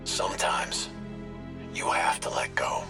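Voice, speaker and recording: An actor playing a calm, grave mentor who urges sacrifice in a cinematic video game. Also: male voice, slow pace, music in the background, natural voice.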